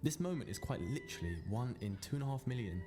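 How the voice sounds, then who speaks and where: A man's voice: mid-range voice, wildly swooping pitch, quick pace, over music. A man playing a needling, wistful young man in a naturalistic radio play, colloquial and dry. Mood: musing.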